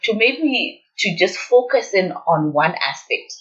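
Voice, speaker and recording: A woman's voice: medium pitch, animated, measured pace, fairly bright tone. A woman, explaining her research as an interview guest. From Zimbabwe. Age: 20s. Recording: dull lo-fi audio.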